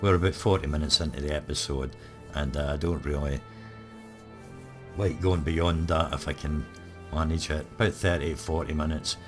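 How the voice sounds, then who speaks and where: A man talks through his strategy game with a very deep, hoarse, croaky voice. He rambles easily, with lots of ums and uhs. Faint music plays underneath. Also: normal speed. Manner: relaxed, rambling, many ums. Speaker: gaming channel host. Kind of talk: live let's play commentary.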